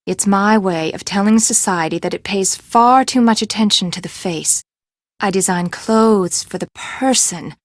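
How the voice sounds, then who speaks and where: A woman's voice: mid-range voice, unhurried, dry recording, close to an everyday voice. Actress as eccentric woman designer, imperious, theatrical, emphatic, dry close studio sound, video game character. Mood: pompous, intense. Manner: haughty, dramatic, emphatic delivery.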